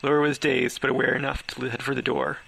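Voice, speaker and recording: Bright-voiced man reading a story aloud. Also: medium pitch, flat delivery, fast, creaky. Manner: stumbles over words, breaks into laughter mid-reading. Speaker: author reading own story. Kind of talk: amateur story narration.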